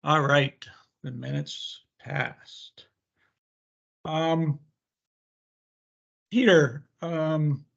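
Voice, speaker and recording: Higher-voiced man chairing, dull call audio. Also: vocal fry. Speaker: meeting co-chair, government agency staffer. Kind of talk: chairing a formal online meeting. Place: indoors on a video call.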